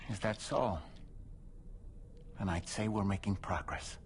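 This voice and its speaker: An actor playing a composed middle-aged therapist who breaks hard news in a calm, measured way, in a video game cutscene. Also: male voice, on the low side, slight background noise, lightly acted.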